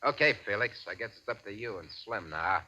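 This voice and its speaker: An actor playing a ruthless, impatient gang boss in 1940s radio crime drama style, commanding and sarcastic with a mock-friendly menace. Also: male voice, on the low side, expressive, fairly quick, very noisy, lightly acted. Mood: mockingly menacing. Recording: dull old radio broadcast recording.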